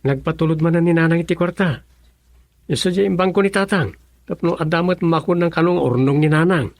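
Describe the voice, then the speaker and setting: An actor playing an earnest young elder brother in a family radio drama, speaking with an Ilocano accent. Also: male voice, a little high, brisk, dry recording, lightly acted. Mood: explaining.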